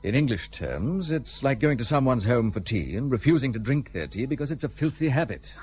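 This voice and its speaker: An actor playing a guarded, blunt British gentleman in colonial India, speaking formally and brusquely in a period radio play. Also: medium pitch, big pitch swings, fairly quick, natural voice. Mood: dry, opinionated.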